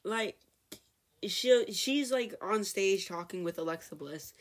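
A woman talking loosely in a relaxed chat, her sentences wandering and packed with "like". Her voice sits at an ordinary middle height. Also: medium pitch, slow pace. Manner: rambling, heavy use of "like". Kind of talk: casual chat.

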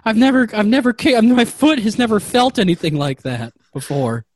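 A man voicing a timid, clumsy cartoon boy in a high, apologetic whine. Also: sing-song, normal speed, no room sound.

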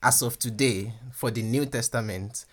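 A man whose first language is Yoruba, speaking English with a Yoruba-influenced accent and explaining things in a measured, conversational teaching way, with frequent restarts and "um"s. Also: mid-range voice.

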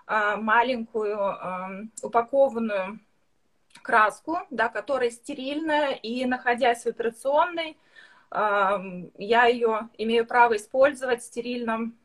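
Hesitant woman with a somewhat high voice. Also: a little slow, a bit bright. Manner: hesitant, many filler sounds, occasional slips between languages. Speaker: medical permanent makeup specialist. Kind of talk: online live interview.

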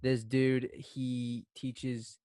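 A man's voice: medium pitch, level tone, drawn-out words, mellow, smooth, dry recording. Male co-host with a Texan accent, meandering and profane, dry close-miked sound. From Texas. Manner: rambling, profane, trails off. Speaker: podcast co-host. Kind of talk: casual chat.

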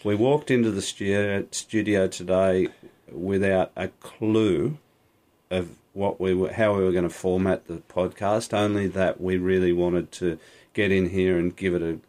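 A man's voice: sits low, fairly even intonation, unhurried, darkish tone. A man co-hosting an unscripted podcast in a studio, rambling and correcting himself, with frequent laughs. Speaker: podcast co-host. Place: small recording studio. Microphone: studio microphone.

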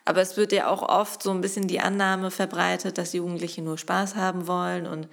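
Young woman host, gravelly tone, relaxed moderating with fillers. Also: lowish voice, fairly quick. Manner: hesitant, many fillers. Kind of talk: casual round-table moderation.

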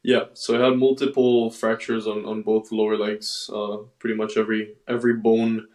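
Low-voiced young man in an echoey room. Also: little pitch movement, darkish tone. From Ecuador, raised in Miami. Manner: measured, halting with fillers and restarts. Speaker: racing driver guest. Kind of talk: casual interview.

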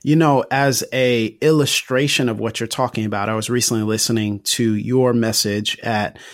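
A man's voice: mid-range voice, fairly even intonation, normal speed, dry recording, clean studio sound. A man hosting a podcast interview, engaged and affirming as he poses his questions. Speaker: podcast host. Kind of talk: conversational interview.

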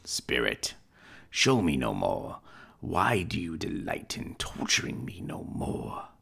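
An actor playing a bitter, anguished old miser who pleads in strained outbursts. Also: male voice, extremely low pitch, wildly swooping pitch, slow pace, creaky, pushed and bright, slightly rough, exaggerated voice. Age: older.

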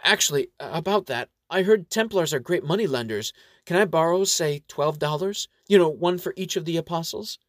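A man's voice: on the high side, animated, normal speed, a bit bright, no room sound, studio quality, voice pushed up. A man voicing a flustered, brazen young woman adventurer who is bluffing her way as a nun in an audio story.

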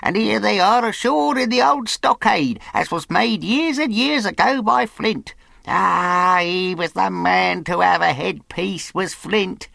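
A man's voice: high-pitched, creaky, no echo, voice pushed up, heavily acted. A man reading aloud, voicing a wild, half-mad old castaway who is eager and cunning and talks in rustic sailor dialect. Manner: rustic sailor dialect, pleading, drawn-out, excitable.

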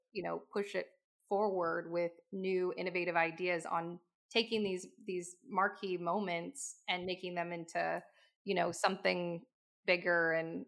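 Gravelly, flat-voiced woman. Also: on the low side. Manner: reflective, searching for words, with "you know" fillers. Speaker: podcast speaker. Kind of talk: reflective business talk.

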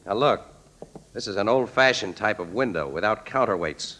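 An actor playing a clever, charming young crime photographer, breezy and confident, in 1940s radio style. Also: male voice, on the low side, animated, moderate pace, bright, forceful tone. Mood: keen, explaining.

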